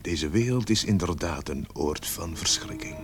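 Gruff authoritative cop sarge, radio play, pitch swings a lot, emphatic. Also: male voice, huge pitch swings, normal speed, very noisy. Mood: quiet, grim. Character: gruff, authoritative male police sergeant leading a murder investigation. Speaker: radio drama actor. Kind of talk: Dutch radio play acting.

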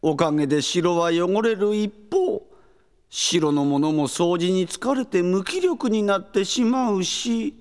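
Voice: medium pitch, takes it slowly, a little mellow, natural voice. A performer playing a weary, pompous old castle ruler in a Japanese comedic audio drama, with old-fashioned, hesitant speech. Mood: resigned, explaining. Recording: long reverberant castle-hall effect.

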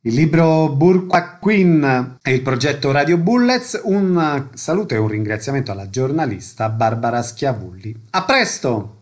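A man hosts a radio programme in a scripted presenting style. His voice sits at an average male pitch. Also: very animated, a little slow, few pauses. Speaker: radio host.

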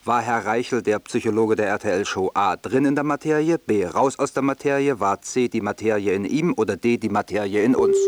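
A man playing a formal, deadpan quizmaster in a scripted radio feature. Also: fairly low pitch, moderate pace, hardly any pauses, slight background noise, natural voice. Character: male quizmaster delivering mock intelligence test verdicts. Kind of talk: scripted quiz announcing.

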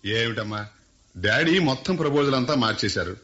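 An actor playing a questioning young adult son in a radio play, talking in casual urban speech sprinkled with English. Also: male voice, mid-range voice, expressive, moderate pace, over loud music, natural voice. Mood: puzzled. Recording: dull lo-fi radio recording.

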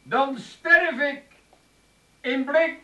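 Stubborn, very high, bright-voiced Amsterdammer. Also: male voice, very slow, heavily acted. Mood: defiant. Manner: defiant, pompous. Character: stubborn, offended, grandiose brother-in-law. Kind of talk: 1980s radio comedy acting.

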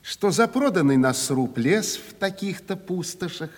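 An actor playing a crafty, uneducated provincial timber merchant in a Russian radio play, wheedling and mock-humble in a folksy way. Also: male voice, high-pitched, lively intonation, unhurried. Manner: wheedling, mock-humble, colloquial peasant turns. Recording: reverberant 1970s radio play recording.

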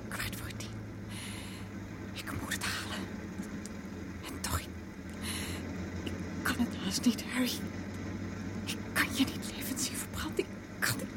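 A woman's voice: unhurried, pauses often, close to an everyday voice. An actress playing an anxious, agitated young woman in a hurry in a radio drama. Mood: desperate, anxious. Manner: breathless, agitated, half-whispering to herself, curt with others. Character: anxious young woman driving desperately against time. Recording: noisy radio play recording, engine rumble.

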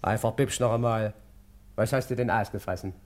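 A man's voice: lowish voice, fairly even intonation, a little fast, natural voice. A man playing a rowdy, mocking ex-convict tramp with a Saxon accent in a German radio drama. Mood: impressed. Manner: loud, mocking, jeering.

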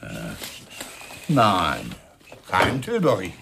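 An actor playing a friendly, obliging village postmaster who is eager to please, in a German radio drama. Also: male voice, sits low, wildly swooping pitch, very slow, vocal fry, background noise, full character voice. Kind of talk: German radio play acting.

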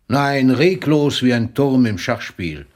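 An actor playing an aging, famous poet in a German radio drama, a gruff but patient mentor with a measured, declamatory delivery. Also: male voice, medium pitch, lively intonation, a little mellow, dry recording, clean studio sound. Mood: exasperated, gruff. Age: older.

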